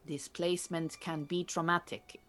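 An actress playing an earnest, proud Iranian refugee woman who mentors beekeepers in an audio drama, speaking in a measured, formal, precise way. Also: fairly low pitch, level tone, fairly bright tone, faint music behind, natural voice. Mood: calm, cautioning. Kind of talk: naturalistic audio drama acting.

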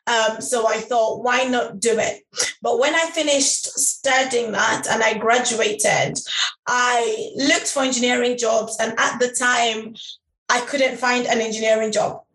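A woman who grew up in Uganda, a life coach and podcast guest, telling her life story in a thoughtful way with many pauses and fillers. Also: on the high side, fairly even intonation, a bit bright, echoey room, low-quality recording. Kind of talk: conversational storytelling.

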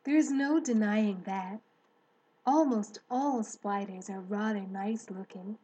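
Woman as a kindly, unhurried lady spider. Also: mid-range voice, breathy edge, muffled recording. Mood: calm, candid. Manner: composed, articulate, gently matter-of-fact. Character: kindly, self-assured, genteel female grey spider. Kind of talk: children's storybook character voice.

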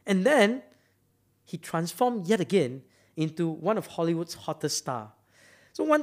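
A man from Malaysia giving a motivational talk, emphatic and often repeating words as he builds up to a point. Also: on the high side. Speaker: speaker.

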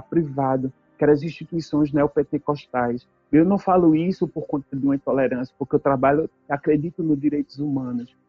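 Husky-voiced woman. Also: deep voice, dry recording, dull audio. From Brazil. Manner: earnest, emphatic storytelling, tag questions. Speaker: activist speaker. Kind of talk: personal testimony talk.

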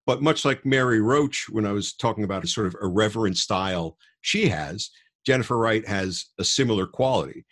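A man's voice: on the low side, animated, brisk, fairly bright tone. A man co-hosting a podcast, talking about books in a thoughtful, explanatory, conversational way. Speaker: podcast co-host. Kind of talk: conversational podcast book talk.

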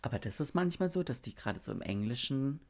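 A man with an average-pitched voice hosting a loose podcast conversation. He talks playfully in slang and leads a guessing game. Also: lively intonation, fast talker, creaky. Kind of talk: casual chat, quizmaster in guessing game.

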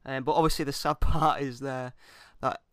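A man's voice: medium pitch, fairly even intonation, normal speed, fairly bright tone, no echo, studio quality. A British man co-hosting a casual comedic chat, irreverent and sweary, presenting bits he has looked up. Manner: laughs through speech, irreverent, sweary. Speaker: podcast co-host presenting researched facts.

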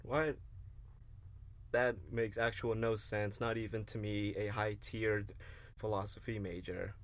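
A man playing a quiet, self-important philosophy student in an amateur radio play, speaking stiffly and formally. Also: lowish voice, little pitch movement, a little slow. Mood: baffled, insistent. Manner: stilted, formal speech.

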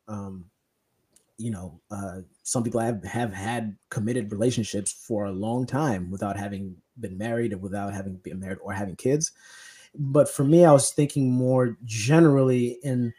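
A young man from Kenya hosts a casual podcast chat. His voice is mellow and relaxed, and he talks haltingly, restarting his sentences and leaning on fillers. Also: medium pitch, husky. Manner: hesitant, many restarts and fillers. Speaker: podcast host. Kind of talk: casual conversation.

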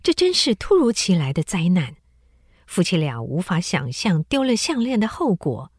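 Expressive woman narrator, low and lively. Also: moderate pace, slightly rough, no room sound, clean studio sound. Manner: expressive, dramatic storytelling. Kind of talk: scripted literary story narration.

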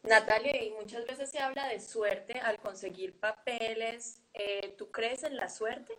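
A woman's voice: on the high side, fairly even intonation, measured pace, sharp tone, low-quality recording. A woman hosting an interview, who asks questions, reads audience questions and answers with short agreeing sounds. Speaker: interview host. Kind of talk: casual interview.

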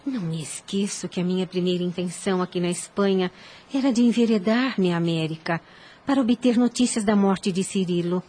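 Husky, earnest young widowed mother, played by an actress. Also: on the low side, a little fast, warm tone, natural voice. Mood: tender, reflective. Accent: Brazilian. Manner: formal, earnest, literary diction. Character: devout, dignified young widowed mother, long-suffering but firm. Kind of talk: radio soap opera acting.

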